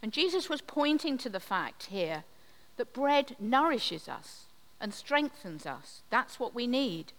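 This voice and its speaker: A woman preaches slowly and gently, her higher voice unhurried as she leads a communion service. The sound rings with a long reverb from a church hall. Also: sing-song. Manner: slow, gentle, reflective delivery with pauses. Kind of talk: sermon.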